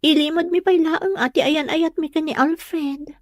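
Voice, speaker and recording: A woman's voice: sits high, steady tone, unhurried, no echo, studio quality. A woman playing a sweet, polite, coy young woman in love in an Ilocano comedy drama. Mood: cheerful.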